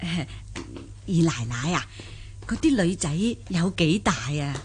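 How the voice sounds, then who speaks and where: A woman's voice: fairly low pitch, animated, unhurried, slight background noise. A woman playing a humble, curious old country granny in a Cantonese audio drama, hesitant and full of simple wonder. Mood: puzzled, curious.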